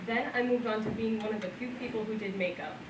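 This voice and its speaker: A teenage girl gives composed, articulate prepared remarks in a mid-range voice. She is far from the microphone, so her voice echoes through a large room over a steady wash of noise. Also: mid-range voice, fast. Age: late teens. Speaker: student speaker. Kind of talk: prepared speech at a school event.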